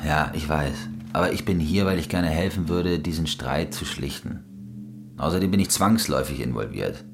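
A placating cousin in a radio drama, with a very low voice. He sounds reasonable and eager to smooth over a quarrel, over background music. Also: normal speed. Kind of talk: German radio drama acting.

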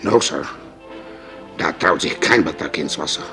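An actor playing a hard-drinking, servile island boatman in a German radio drama, halting and wary, repeating himself in a deep, gravelly voice. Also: animated, over music. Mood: spooked, secretive.

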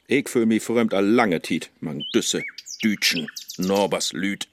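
An actor playing the restless scholar Faust in a Low German verse radio play, declaiming rhymes in a Low German accent. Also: male voice, slow, a little hiss or hum. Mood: weary. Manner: rhyming declamation, swinging from gloom to fervour.

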